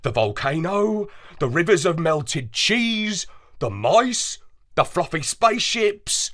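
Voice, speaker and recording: A woman narrates a children's story in a storybook cadence. She reads slowly, with a voice that rises and falls dramatically. Her delivery stays expressive throughout. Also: lowish voice.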